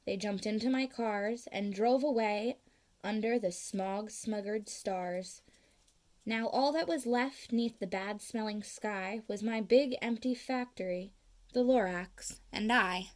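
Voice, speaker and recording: A woman reading a children's storybook aloud in an expressive style. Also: medium pitch, slow. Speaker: storybook reader.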